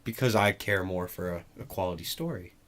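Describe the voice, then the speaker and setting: A man's voice: low voice, brisk. A man co-hosting in casual banter, argumentative and sarcastic, with occasional swearing. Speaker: co-host.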